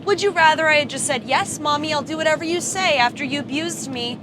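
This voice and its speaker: A woman's voice: high-pitched, fast talker. A young American woman arguing defiantly and insistently on a livestream from inside a car. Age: young adult. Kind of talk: heated argument on a live road-trip stream. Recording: clear car and road noise. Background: engine and road noise.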